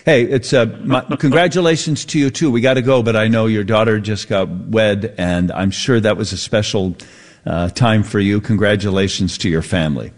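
A male radio talk show host with a fairly low voice. He chats in an easygoing way, laughing often and slipping into promotions between topics. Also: normal speed.

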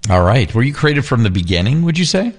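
A man's voice: mid-range voice, very animated, quick pace. A man hosting a radio interview in a friendly, chatty way, laughing easily. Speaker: radio host.

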